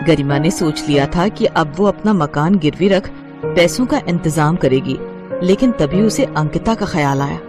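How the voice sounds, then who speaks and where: Lively woman storyteller. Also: sits low, normal speed, music in the background. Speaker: narrator. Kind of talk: scripted storybook narration.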